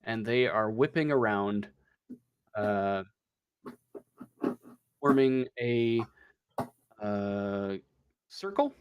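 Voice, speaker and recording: A man improvising narration as game master of a tabletop roleplaying game, often pausing mid-sentence as he goes. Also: fairly low pitch, lively intonation, smooth, no echo, lo-fi sound.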